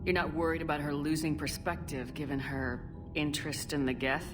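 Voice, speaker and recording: An actress playing a tough military commander in a video game, with a direct, controlled, probing delivery. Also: female voice, low-pitched, flat delivery, fairly quick, music in the background, lightly acted. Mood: serious, probing.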